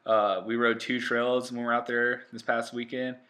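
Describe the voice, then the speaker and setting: A man's voice: mid-range voice, completely flat delivery, normal speed, sharp tone. A man, earnestly narrating for viewers as a channel co-host. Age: 20s. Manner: earnest, upbeat, addresses viewers as guys. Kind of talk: casual voice-over narration.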